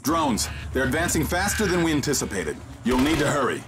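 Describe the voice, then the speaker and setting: An actor playing a composed, commanding mission guide in a sci-fi video game, speaking in a clipped, technical, instructive way. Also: male voice, mid-range voice, very animated, brisk, loud music behind the voice, close to an everyday voice. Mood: alert, directing. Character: composed, commanding man guiding a soldier through alien invasion. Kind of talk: scripted video game voice acting.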